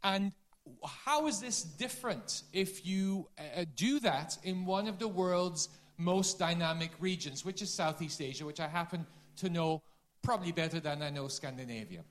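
A man with a Dutch accent, a business school dean giving a lively conference talk and quizzing the audience. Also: a little high, normal speed. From Netherlands. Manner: lively, quizzes the audience, self-deprecating asides.

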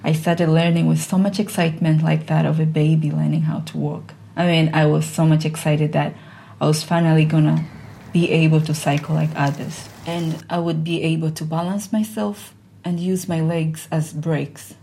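Woman, low voice, mellow tone, earnest storytelling. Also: little pitch movement, creaky, slight background noise. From Tanzania. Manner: earnest, measured telling. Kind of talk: personal story narration.

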